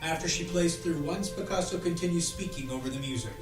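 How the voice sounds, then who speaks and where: A man's voice: fairly high pitch, fairly quick, over music. A man reading stage directions as scripted narration. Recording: echoey, far from mic.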